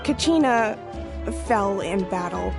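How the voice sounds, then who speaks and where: A woman's voice: mid-range voice, lively intonation, slow, creaky, music in the background. A woman playing an earnest, respectful young tribe messenger in a video game. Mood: earnest, reassuring. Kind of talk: video game character acting.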